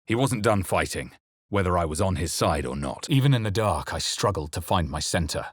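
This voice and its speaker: Deep, grave fantasy adventurer. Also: male voice, animated, no room sound, clean studio sound. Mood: brooding, intense. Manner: measured, dramatic, solemn delivery. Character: grave, brooding adventurer hero of a fantasy game. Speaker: video game voice actor. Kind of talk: dramatic first-person game narration and character lines.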